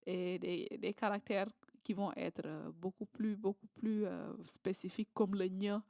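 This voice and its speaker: A woman's voice: medium pitch, steady tone, measured pace, gravelly, mellow, no echo, studio quality. Hesitant woman from southern Senegal. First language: Creole, Diola and Wolof. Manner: hesitant, many fillers and restarts. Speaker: guest. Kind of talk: casual interview conversation.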